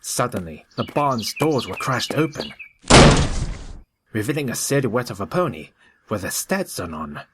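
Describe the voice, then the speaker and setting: A man narrating a scripted story in an expressive, theatrical way. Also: medium pitch, measured pace, very noisy.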